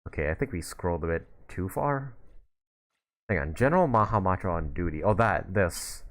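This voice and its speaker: A man's voice: low voice, animated, moderate pace. A man streaming a video game, giving casual, chatty commentary and thinking aloud in a laid-back way. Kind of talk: casual live gameplay commentary. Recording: game music under the voice.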